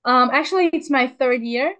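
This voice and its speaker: Young woman, about 20, upbeat interview guest on a remote line, slightly high voice, lots of pauses and self-corrections. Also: a bit bright. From Hungary. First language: Hungarian. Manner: hesitant, many fillers and restarts, upbeat. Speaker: athlete guest. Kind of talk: casual interview chat.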